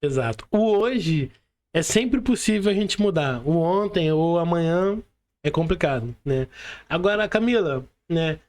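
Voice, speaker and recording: A man's voice: fairly high pitch, expressive, measured pace, darkish tone. A Brazilian man hosting a casual podcast interview, informal and often checking in with tag questions. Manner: informal, frequent tag questions seeking agreement. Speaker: podcast host interviewing a guest.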